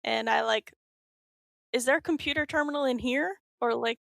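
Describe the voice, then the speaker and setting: Female player, higher pitch, fry, wry quips. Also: normal speed, fairly bright tone, dry recording, studio quality. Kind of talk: tabletop game table talk.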